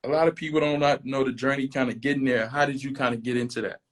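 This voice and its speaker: A man's voice: medium pitch, steady tone, fast talker, slight background noise. An American man hosting a casual interview, reacting briefly and informally and asking questions. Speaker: interview host. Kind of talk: casual chat, short encouraging reactions.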